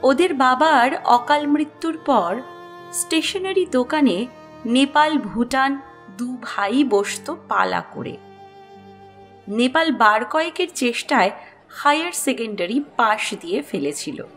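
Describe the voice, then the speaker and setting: A woman narrating a scripted story as its host, in an expressive storytelling style with music underneath. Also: high-pitched, slow.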